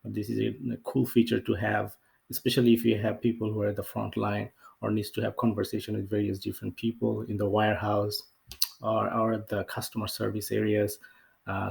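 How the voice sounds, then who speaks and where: A man with a fairly low, noticeably creaky voice talks through an on-screen software tutorial. His delivery is unscripted and hesitant, circling back over phrases as he explains. The intonation stays quite flat throughout. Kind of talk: casual screen-share walkthrough, unscripted. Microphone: off-camera voice-over for screen recording.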